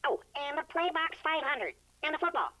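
A woman voicing a greedy, excited little boy who eagerly lists things in a comic puppet radio skit. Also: sits high, a little fast, pitched above the performer's own voice.

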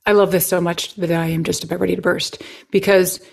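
A woman in her forties, a well-being researcher talking as a guest over a video call on a headset, explaining ideas in an animated, expressive way. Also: fairly low pitch, brisk, gravelly. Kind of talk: enthusiastic conversational interview. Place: home living room.

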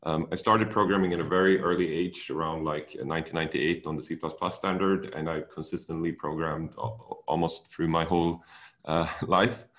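A young man with a Swedish accent giving an online seminar presentation as a technical director, relaxed and with many fillers. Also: extremely low pitch, normal speed. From Sweden.

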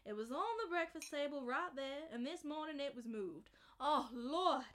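A woman's voice: sits high, animated, normal speed, a bit bright. A woman playing an anxious, secretive and polite client in a noir radio drama, with a fretful manner. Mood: uneasy, insistent. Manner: fretful, breaking into pleading.

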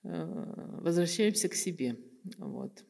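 An older woman with a slightly low voice speaks slowly and reflectively, pausing often and searching for her words. She sits at a table mic in a bright room, and her voice carries a noticeable room reverb. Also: expressive, gravelly. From Kazakhstan. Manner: thoughtful, many pauses and fillers. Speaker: guest artist. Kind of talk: reflective interview talk. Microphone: table microphone on stand.

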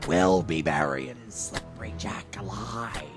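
A man voicing a cranky, menacing pirate in a comic dub, gravelly, with music behind. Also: low-pitched, animated, a little slow.

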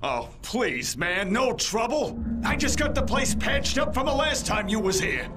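A scared bar owner, extremely high and swooping in pitch. He pleads in street-level slang in a game scene, loud music behind. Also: sing-song, measured pace, heavily acted. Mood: pleading. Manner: pleading, colloquial.

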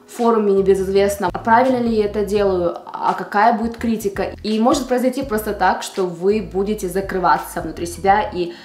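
A woman's voice: medium pitch, steady tone, a little fast, few pauses, darkish tone. A woman in her twenties from the Russian Far East, a beauty vlogger chatting casually to camera in a room at home. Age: 20s. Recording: roomy indoor echo.

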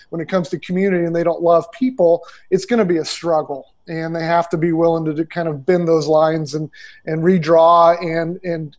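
A man's voice: a little high, darkish tone. A man in his forties, a ministry consultant, answering interview questions over a video call through earbuds, talking conversationally with many fillers and restarts. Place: small room indoors. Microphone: earbud headset on video call.

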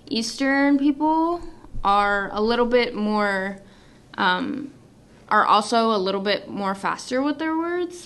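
A woman's voice: medium pitch, fairly bright tone, clear, resonant tone. A young woman from North Carolina with a Southern American accent, hosting a casual student radio chat with lots of fillers. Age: young adult. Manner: chatty, hesitant with fillers. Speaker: radio show host and mediator.

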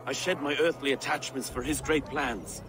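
A man's voice: mid-range voice, normal speed, background noise, lightly acted. Actor as male medieval lawman, earnest, formal, grave, video game, busy outdoor background. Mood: troubled. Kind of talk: video game cutscene acting.

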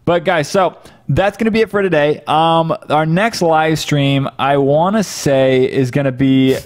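A man's voice: a little high, unhurried. A man, chatting casually with viewers as a livestream host into a close desk microphone. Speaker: live stream host. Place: home office room, indoors. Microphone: close desk microphone on boom arm.

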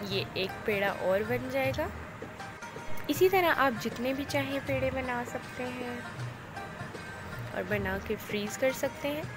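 A woman calmly explains each cooking step in a voice-over, speaking directly to viewers. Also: high voice, measured pace, pauses often. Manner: calm, instructive, addresses viewers directly. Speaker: cooking channel host. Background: loud background music.

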